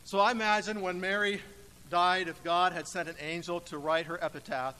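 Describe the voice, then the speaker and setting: High-pitched man preaching in an echoey hall. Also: fairly even intonation, sharp tone. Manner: emphatic, deliberate phrasing with dramatic pauses. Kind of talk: sermon. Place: church sanctuary, indoors. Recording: echoey church hall with faint noise.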